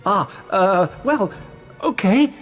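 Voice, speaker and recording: A flustered wizard professor in a video game. His voice is very high for a man and swoops about as he stammers slowly and evasively, with faint music behind. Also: animated, fairly bright tone, heavily acted. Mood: nervous, evasive. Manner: hesitant, stammering, fussy. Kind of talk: video game character acting.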